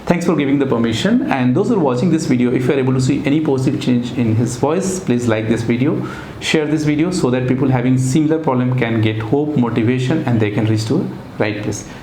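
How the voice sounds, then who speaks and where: A man's voice: mid-range voice, quick pace, hardly any pauses, warm tone. A man with an Indian accent, speaking as a voice therapist conducting a formal case interview. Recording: echoey room, faint background noise.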